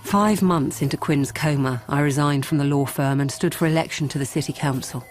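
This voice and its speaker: An actress playing a calm, ruthless politician who narrates a radio drama in a measured, controlled and quietly menacing way. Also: female voice, deep voice, natural voice. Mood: cool, controlled. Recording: music behind the voice.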